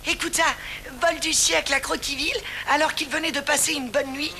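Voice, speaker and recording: High-voiced excitable cartoon schemer. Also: female voice, brisk. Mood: dramatic. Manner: exclamatory, stammering when flustered. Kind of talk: animated cartoon dialogue. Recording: loud music behind the voice.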